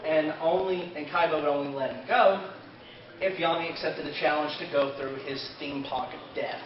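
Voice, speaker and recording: A man with a fairly high voice gives an informal fan panel talk. He is picked up from a distance in a roomy space, with a crowd clearly present around him. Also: steady tone, normal speed. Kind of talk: informal panel talk about an anime, partly in character. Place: convention panel room, indoors. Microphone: distant camera microphone. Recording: roomy, dull, distant camera with audience.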